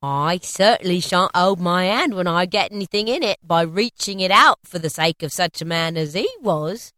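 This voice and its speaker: A brazen storybook thief, with a forceful, sharp voice that swoops widely. She is a callous, mocking working-class woman who gloats and cackles over her loot in rough speech, recorded very dry. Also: medium pitch, big pitch swings, normal speed, dry recording. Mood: coolly scornful. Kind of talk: storybook character acting.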